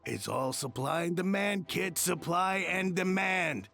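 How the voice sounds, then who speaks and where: A man's voice: high-pitched, measured pace, creaky, pushed and bright, faint music behind, heavily acted. A man playing a cocky, patronizing smuggler boss in a video game. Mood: smug, patronizing. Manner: patronizing, self-assured. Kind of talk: video game character acting.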